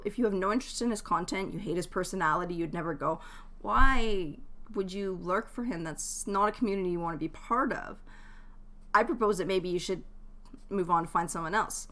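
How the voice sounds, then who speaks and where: A woman's voice: on the low side, a bit bright. A woman chatting casually and giving advice to viewers as a live game streamer, in a chatty, informal way.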